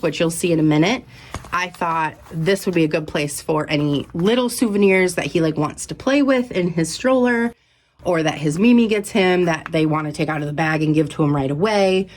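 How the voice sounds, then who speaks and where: A young woman talking casually to her viewers, a little stuffy and out of breath from a cold, upbeat and chatty. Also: lowish voice. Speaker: vlogger. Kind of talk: casual show-and-tell vlog.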